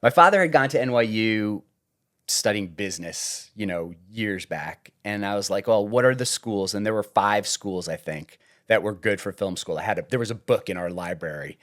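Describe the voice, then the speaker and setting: Low-voiced man, joking and chatty. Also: normal speed. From suburban New York. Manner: joking, deadpan teasing, lively storytelling. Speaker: podcast guest, TV writer. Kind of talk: casual interview chat.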